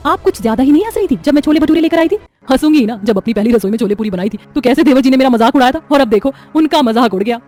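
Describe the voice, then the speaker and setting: Woman acting a fast-talking, sharp-tongued young wife. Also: high voice, expressive. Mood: teasing. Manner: teasing, argumentative. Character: sharp-tongued, confident young daughters-in-law. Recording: music behind the voice.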